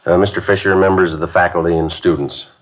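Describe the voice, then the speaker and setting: An actor playing an earnest police sergeant rehearsing a school speech in a 1950s radio drama, heard on a muffled old broadcast recording. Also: male voice, low-pitched, flat delivery, fast talker, a little hiss or hum, natural voice. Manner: earnest, plain-spoken lecturing. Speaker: police detective giving a speech.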